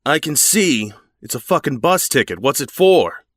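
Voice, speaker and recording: A man's voice: medium pitch, big pitch swings, moderate pace, a bit bright. A man playing an easygoing new shop employee in an audio drama, talking in a casual, conversational way. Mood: irritated.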